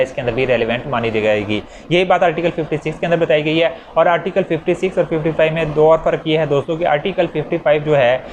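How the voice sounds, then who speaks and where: A male lecturer with a Pakistani accent and a voice pitched a little high explains things didactically. He restates each point in plainer terms and works through examples. The recording is dull and lo-fi, with faint noise behind him. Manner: didactic, restating key points in simpler words. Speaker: law lecturer.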